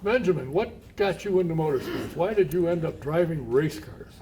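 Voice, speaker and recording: A man's voice: on the high side, expressive, moderate pace, dark tone. A man hosting a radio show who interviews his guests chattily and chuckles often. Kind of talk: casual interview. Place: pub. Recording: distant mic in a noisy pub.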